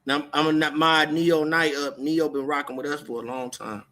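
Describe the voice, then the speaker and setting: A man's voice: on the high side, steady tone, fairly quick, pushed and bright. An American man from California hosting a casual livestream, talking in a slangy, repetitive, confrontational way as he moderates the chat. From Oakland, California, US.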